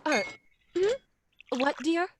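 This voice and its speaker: A woman's voice: extremely high pitch, animated, drawn-out words, a little hiss or hum, exaggerated voice. A woman playing a refined, easily flustered mother in an old-time radio drama, with a fluttery manner. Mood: genteel, pleased. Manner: genteel, fluttery. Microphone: studio mic at home, video call.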